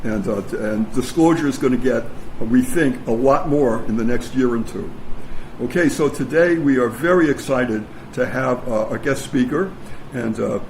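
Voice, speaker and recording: A man hosting a community meeting and interviewing a guest informally, with a halting delivery and repeated phrases. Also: mid-range voice, darkish tone, low-quality recording. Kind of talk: informal spoken introduction and interview. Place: meeting room with an audience. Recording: live meeting recording with background noise.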